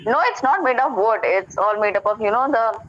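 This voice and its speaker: A woman's voice: fairly high pitch, brisk, fairly bright tone, a little hiss or hum. Young Indian woman on a dull, lo-fi call. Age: young adult. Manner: polite, eager, hesitant, frequent fillers. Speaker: student. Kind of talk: conversation practice. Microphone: video call.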